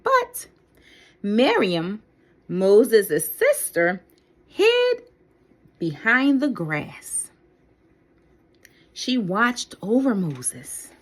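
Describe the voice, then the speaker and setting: A woman storyteller reads a children's story at a very slow, deliberate pace. Her pitch sits in a middle range for a woman but swings dramatically up and down. She phrases carefully and expressively, as if for young listeners. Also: very animated. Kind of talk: read-aloud children's Bible story.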